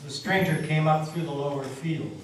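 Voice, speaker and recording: A man's voice: a little high, normal speed. Distant, echoey male storyteller. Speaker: storyteller in a dramatic reading. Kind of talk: scripted dramatic narration. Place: meeting house, live reading. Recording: echoey hall from far off, faint noise.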